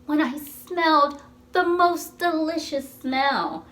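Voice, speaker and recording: A woman's voice: high-pitched, lively intonation, slow pace, a bit bright, pitched above the performer's own voice, heavily acted. A woman voicing an eager, polite and hungry little boy in a storybook, savouring his words.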